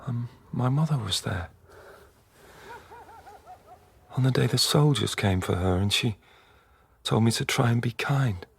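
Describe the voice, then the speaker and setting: An actor playing a weary, haunted middle-aged dissident in an intimate, brooding radio drama style. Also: male voice, medium pitch, vocal fry, slight background noise, lightly acted. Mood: haunted, confessional. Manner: slow, halting, brooding. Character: weary, haunted male dissident confiding memories and fears.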